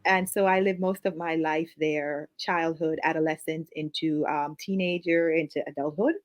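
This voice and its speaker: Chatty Jamaican woman, slightly low voice. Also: fairly even intonation, normal speed, dry recording. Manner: chatty, laughs easily, trails into fillers. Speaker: guest. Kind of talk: casual interview conversation.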